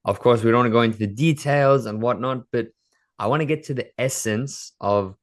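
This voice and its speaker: A man's voice: mid-range voice, a little fast. A man co-hosting a casual podcast, asking probing questions with a teasing edge. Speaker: podcast co-host.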